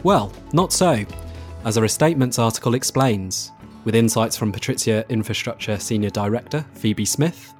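A man's voice: mid-range voice, moderate pace, a little mellow, faint music behind. A man hosting a podcast, reading a scripted introduction. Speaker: podcast host.

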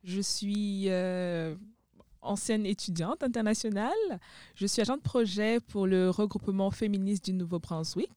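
A woman's voice: mid-range voice, normal speed, dark tone, dry recording. Young woman from Cameroon, laid-back guest. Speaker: podcast guest. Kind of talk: casual chat. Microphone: studio microphone.

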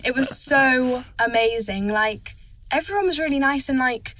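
A woman's voice: sits high, normal speed, a bit bright, no echo. A teenage girl, a young actor, chatting eagerly as a guest in a casual interview, with lots of "like". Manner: chatty, eager, says "like" a lot.

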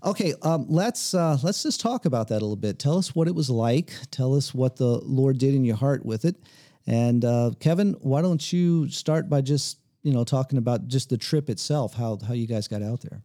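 A man hosts a casual interview around a small table in a cabin living room. His voice is very dark and mellow, and it sits in a dry, close sound. He talks in a relaxed, rambling way and often repeats his words. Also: medium pitch, moderate pace, no echo. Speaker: host. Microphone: table mic.

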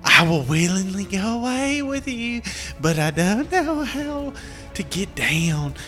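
A man voicing a naive young fairy-tale maiden in a slow, theatrical falsetto. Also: sing-song, a bit bright, soft background music, full character voice. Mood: earnest, dreamy.

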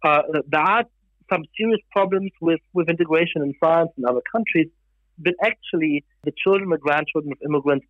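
A man with a slightly high voice explains ideas in a measured, careful way, pausing on fillers and restarting phrases. He is a guest author raised in Germany. His voice comes over a dull, muffled remote line. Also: dry recording. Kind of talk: discussion on a panel.

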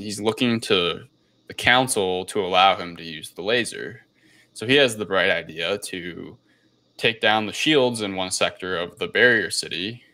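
A man's voice: deep voice, sharp tone. A man hosting a podcast, recapping a film in a casual, hesitant, loosely rambling way. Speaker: podcast host.

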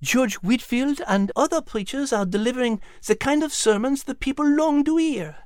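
A woman's voice: sits high, moderate pace, darkish tone, no echo, clean studio sound, natural voice. A woman voicing a thoughtful, elegant female animal with a French accent, explaining history in an audiobook story.

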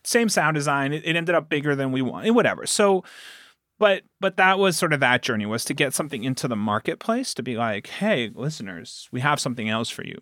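A man's voice: mid-range voice, big pitch swings, a little fast, a bit bright, no room sound, clean studio sound. A man speaking casually as a producer being interviewed, talkative and emphatic, often repeating a phrase to press a point. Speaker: podcast producer and guest.

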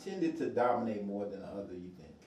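A man's voice: fairly low pitch, big pitch swings, quick pace, a crowd in the background. A man hosting his own podcast, talking loosely and enthusiastically with lots of fillers. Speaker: podcast host. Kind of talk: casual interview hosting. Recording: roomy echo.

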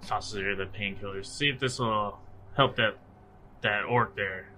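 A man's voice: lowish voice, a little slow, bright, forceful tone, slight background noise. A man talking casually as a player in a tabletop roleplaying game, describing what his character does. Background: faint music.

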